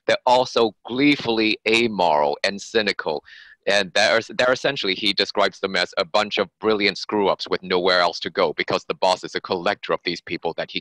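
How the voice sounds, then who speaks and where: A man's voice: sits low, sharp tone, no room sound, poor audio. A man being interviewed as an author, explaining his fiction conversationally in long, run-on sentences.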